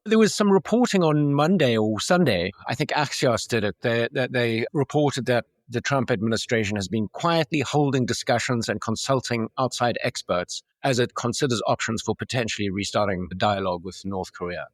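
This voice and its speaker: A man's voice: medium pitch, normal speed, hardly any pauses, no echo, studio quality. A man hosting a conversational interview, putting questions about current affairs to a guest. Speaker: interviewer, show host.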